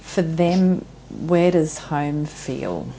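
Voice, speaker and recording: Low-voiced woman host. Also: animated, very slow, dark tone, a little hiss or hum, poor audio. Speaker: podcast host. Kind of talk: interview chat.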